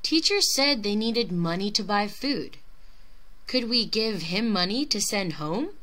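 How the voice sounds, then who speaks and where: A woman's voice: medium pitch, animated, measured pace, fairly bright tone. A woman voicing an earnest, compassionate young village girl in a children's storybook, with a questioning manner. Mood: hopeful. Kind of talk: children's storybook character reading.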